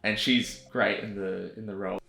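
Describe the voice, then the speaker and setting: A young Australian man chatting casually as a filmmaker, self-deprecating and quick to laugh. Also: on the low side, fairly even intonation, vocal fry, pushed and bright. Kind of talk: casual vlog narration. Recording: echoey room with music under it.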